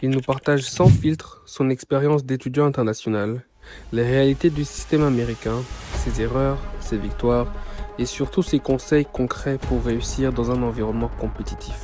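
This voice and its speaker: A man reading a scripted trailer voice-over in a smooth, even announcing manner. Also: mid-range voice, moderate pace. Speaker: trailer narrator. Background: music bed.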